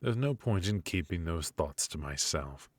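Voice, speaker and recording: A man playing a bitter, haughty royal mage in an intimate fantasy ASMR roleplay, speaking in a measured, aristocratic, dryly mocking way. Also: low voice, expressive, dry recording. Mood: dry disdain. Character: bitter, sardonic, haughty royal mage passed over for succession. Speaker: amateur voice actor.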